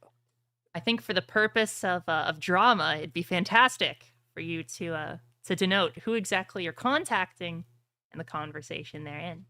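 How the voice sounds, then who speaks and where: A woman's voice: fairly low pitch, moderate pace, bright, forceful tone, no room sound. A woman running a tabletop roleplaying game as game master, narrating it dramatically.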